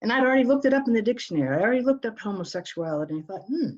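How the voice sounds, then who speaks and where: A woman's voice: fairly high pitch, lively intonation, racing. Earnest storytelling woman, muffled sound. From Northern Ireland. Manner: earnest, storytelling, many restarts. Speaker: guest. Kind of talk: conversational interview. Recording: dull, lo-fi.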